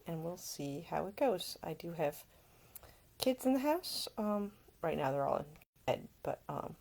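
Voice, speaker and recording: A woman's voice: low voice, vocal fry, a little mellow. A woman author presenting and reading her own fiction at home in an informal storytelling style. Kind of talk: informal introduction, then expressive audiobook narration.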